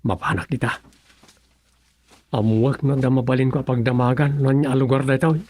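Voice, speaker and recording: A man's voice: medium pitch, fairly even intonation, moderate pace, over music, natural voice. An actor playing a puzzled younger countryman in a radio serial, with a Filipino accent, wondering aloud hesitantly. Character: puzzled younger countryman exploring a strange place.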